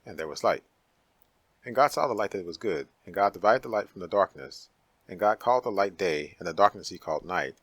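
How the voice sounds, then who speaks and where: Male narrator for dramatic scripture: low, rough-edged, solemn and declamatory. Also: lively intonation, brisk, fairly bright tone, dry recording.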